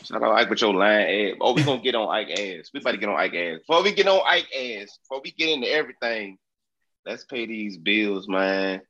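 A fairly low-voiced man hosts a loose sports podcast, sounding congested from a cold. He trash-talks in a brash, profane, jokey way, and the recording comes through dull and lo-fi. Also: expressive, brisk, pushed and bright. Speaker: podcast host. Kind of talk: casual sports podcast chat.

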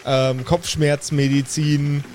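A man's voice: on the high side, little pitch movement, slow, a little mellow, slight background noise. A man narrating an improvised tabletop roleplaying game as the game master. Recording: music behind the voice. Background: background music.

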